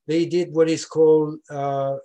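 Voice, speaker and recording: An older man from Switzerland with a Swiss French accent, a scientist explaining his research in an interview, with many "uh" pauses. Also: a little high, little pitch movement, crowd noise. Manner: explanatory, frequent "uh" fillers. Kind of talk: conversational interview.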